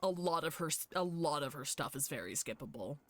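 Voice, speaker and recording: A woman's voice: deep voice, expressive, brisk, heavy background noise. A woman game streamer talking casually over her live stream, blunt, sweary and opinionated.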